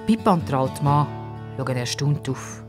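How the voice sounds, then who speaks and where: A woman's voice: very deep voice, animated, measured pace, over music. A woman narrating a children's story expressively from a script.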